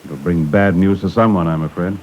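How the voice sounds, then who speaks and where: Man playing a british tug skipper in an old radio play, lowish voice, clipped n in charge but kind, dull noisy recording. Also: brisk, a little mellow, lightly acted. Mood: sombre. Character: commanding male tugboat skipper, brisk but compassionate. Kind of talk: 1950s radio acting.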